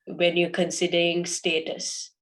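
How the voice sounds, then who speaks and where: A young woman from Sri Lanka, a podcast guest telling her story in a halting way full of fillers and restarts. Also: lowish voice, completely flat delivery, fairly bright tone. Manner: hesitant, many fillers and restarts. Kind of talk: casual interview answers.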